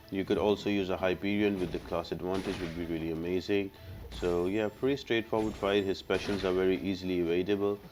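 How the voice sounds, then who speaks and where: A man with a low-pitched voice narrates a video game guide in a casual, explanatory way. He sounds like a player walking others through each step. There is clear background noise in the recording. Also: darkish tone. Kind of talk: casual gameplay walkthrough commentary.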